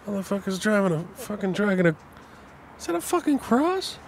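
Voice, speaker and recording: A man's voice: high-pitched, very animated, brisk, gravelly, slight background noise, full character voice. A man playing a cocky, foul-mouthed, slangy ambulance driver in an amateur horror audio drama. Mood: exasperated, taunting. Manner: slangy, swearing, improvised-sounding.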